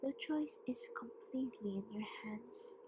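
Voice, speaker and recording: A woman's voice: on the high side, a little slow, background noise, natural voice. A woman playing a haughty, teasing vampire queen in an ASMR roleplay, often half-whispering in a taunting, mock-polite way. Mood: coolly coercive. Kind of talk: ASMR roleplay, scripted monologue.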